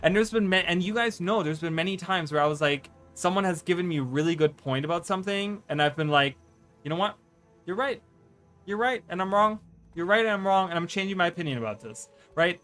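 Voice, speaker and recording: Male streamer, German native, talks in stammering loops, light raised pitch, low music bed. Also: lively intonation, a little fast, a bit bright. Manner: rambling, stammering restarts, frequent "right?". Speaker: streamer and content creator. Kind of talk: casual live-stream chat.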